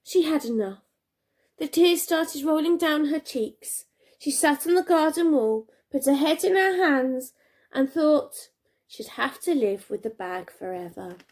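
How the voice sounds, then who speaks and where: Lively high-voiced woman reading a storybook. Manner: animated, dramatic pauses and emphasis. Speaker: primary school teacher reading aloud. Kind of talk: expressive children's storybook reading.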